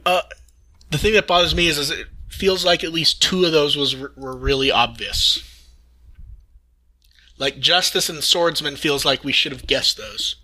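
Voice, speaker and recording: A man with a slightly high, very bright and cutting voice. He hosts a casual news discussion and delivers it with wry, dry humour. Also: a little slow. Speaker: podcast host.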